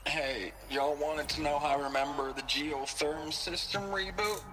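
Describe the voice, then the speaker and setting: A man's voice: on the high side, steady tone, unhurried, background noise, close to an everyday voice. A man playing a folksy, boastful technician in a video game audio log, jokey and showy with a sing-song way of reciting. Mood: playful, smug. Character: folksy, boastful male technician in an old recording.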